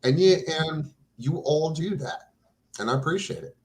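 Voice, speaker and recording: A man's voice: a little high, lively intonation, normal speed. An earnest man in his forties hosting a casual chat, stammering and restarting his sentences. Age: 40s.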